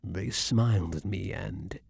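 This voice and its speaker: A man playing a centuries-old vampire king, haughty yet secretly romantic, speaking in formal, archaic phrasing with dramatic pauses in an intimate ASMR roleplay. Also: sits low, creaky, a little mellow, breathy edge, no room sound, heavily acted. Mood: wistful, entranced.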